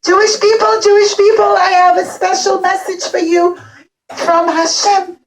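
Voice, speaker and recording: A woman's voice: extremely high pitch, little pitch movement, normal speed, pitched above the performer's own voice, rough recording. A woman voicing an earnest, dejected male prophet in a children's puppet show, pleading and repeating herself. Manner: pleading, plaintive, repetitive.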